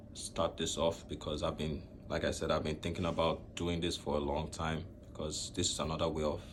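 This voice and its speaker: A young man from Nigeria speaking to camera in a slow, reflective way, pausing often between phrases. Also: rumbling low, background noise. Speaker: vlogger. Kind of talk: personal talk to camera.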